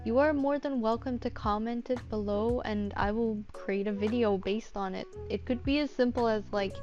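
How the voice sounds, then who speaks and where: A woman's voice: on the high side, moderate pace, a little mellow, highs cut off, soft background music. A woman giving a casual, informal tutorial as a voice actor, thinking aloud in a self-deprecating way. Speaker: voice actor giving script-writing advice.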